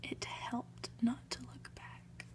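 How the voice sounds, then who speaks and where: A woman softly reading a story aloud in an ASMR style, in a gentle, measured manner. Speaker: ASMR reader.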